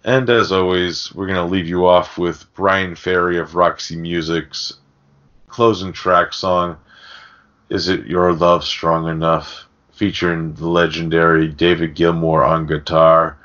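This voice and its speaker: Podcast host, male, deep voice, informal and chummy, slightly muffled recording. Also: flat delivery, measured pace, fairly bright tone. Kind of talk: casual podcast chat.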